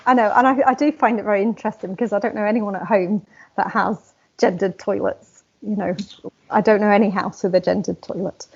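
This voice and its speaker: A woman's voice: mid-range voice, steady tone, fairly quick, vocal fry, slightly rough. A woman interviewer speaking conversationally, with thoughtful, slightly hesitant phrasing. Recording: dull, muffled lo-fi sound.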